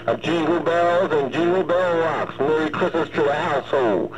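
A man's voice: on the high side, moderate pace, poor audio. A man giving folksy call-sign patter in a casual CB radio chat. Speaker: CB radio operator. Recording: old CB radio transmission.

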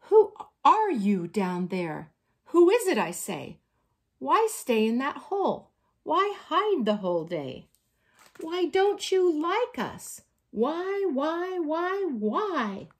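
A curious, friendly bear from a children's storybook, acted out by a woman. The voice is loud and emphatic, pitched fairly high and very animated in its rise and fall. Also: takes it slowly, husky, heavily acted. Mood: pleading, insistent. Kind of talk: children's storybook read-aloud.

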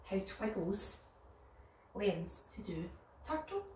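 A middle-aged woman with a Northern Irish accent leading story time for young children in an animated way. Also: mid-range voice, takes it slowly. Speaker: nursery storyteller. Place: living room at home. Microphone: camera a few metres away. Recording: roomy, distant mic, background noise.

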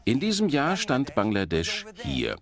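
A man presenting a documentary lecture in an emphatic, explanatory manner with dramatic pauses. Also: medium pitch, huge pitch swings, crowd noise. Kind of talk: animated documentary lecture to an audience.

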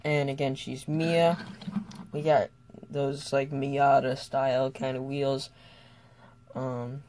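A young student giving a casual, unscripted toy car review in a hesitant, halting way with trailing thoughts. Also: mid-range voice, darkish tone, slight background noise.

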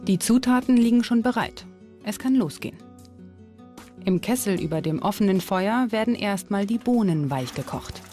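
A woman reads scripted documentary narration in a presenter's role. Her voice sits at an ordinary middle pitch for a woman, with music playing softly behind it. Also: expressive, moderate pace.

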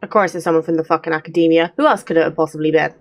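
A woman's voice: low-pitched, fairly even intonation, rapid-fire. A young adult woman giving casual, blunt, sweary reactions as she streams a game on a close mic. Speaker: let's play streamer. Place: indoor room. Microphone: close desk mic. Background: faint game music.

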